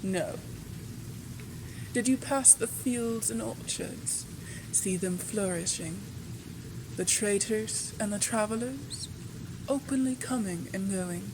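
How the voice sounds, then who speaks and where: A woman performs a proud vampire baroness in an ASMR audio drama. She speaks slowly and deliberately in a medium-pitched female voice, weighing each phrase with imperious authority. A clear wash of rain noise sits over the recording. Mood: righteous, scornful. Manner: imperious, measured, deliberate delivery with dramatic pauses. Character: proud vampire baroness, menacing yet secretly tender. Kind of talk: scripted ASMR roleplay, slow and theatrical.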